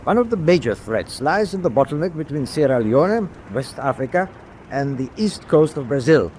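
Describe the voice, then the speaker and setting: A German tanker captain in a naval radio drama. He is commanding and calculating, laying out orders formally in a somewhat raised male register. A faint rumble runs behind him. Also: big pitch swings, a little mellow. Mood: grave, measured. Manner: measured, formal briefing.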